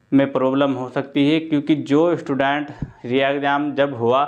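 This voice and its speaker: A man's voice: medium pitch, dull audio. A man giving students an informal, explanatory update, repeating key points as he advises them. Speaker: exam coaching presenter.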